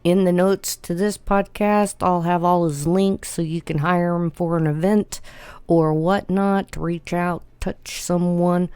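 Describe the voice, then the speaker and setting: A woman's voice: on the low side, clear, resonant tone, dry recording. A woman hosting a podcast, opening the show and then interviewing a guest with short encouraging replies. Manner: slow, relaxed delivery with short encouraging replies. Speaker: podcast host. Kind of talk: casual interview.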